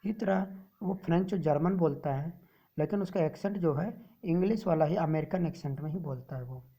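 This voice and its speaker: A woman teacher explaining a textbook story to students, reading English lines slowly and then explaining them in Hindi. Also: sits low, warm tone, breathy edge, muffled recording.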